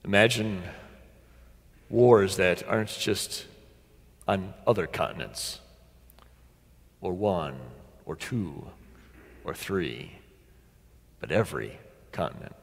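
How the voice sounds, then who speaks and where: A middle-aged man preaching a sermon as a pastor, deliberate and dramatic, with pauses and emphatic repetition. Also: sits low, gravelly. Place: large church sanctuary, at the pulpit. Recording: long church reverb.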